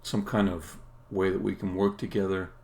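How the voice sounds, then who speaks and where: A man, a game developer, casually explaining his work on a live stream in a halting, think-aloud way. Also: low voice, flat delivery, darkish tone. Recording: clear background noise.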